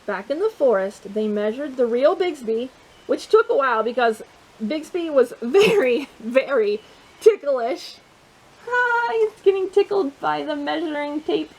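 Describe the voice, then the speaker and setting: A woman hosting storytime, reading a picture book aloud to children in an expressive way, with chatty asides and questions to the listeners. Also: sits high, measured pace, rough recording.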